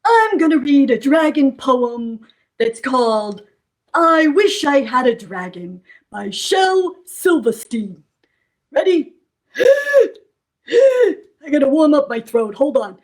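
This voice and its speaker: A playful, friendly dragon from a children's puppet show, acted by a woman. The voice sits high and takes its time, reciting like a storybook with animated rises and falls. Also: takes it slowly, exaggerated voice, rough recording. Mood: playful, theatrical.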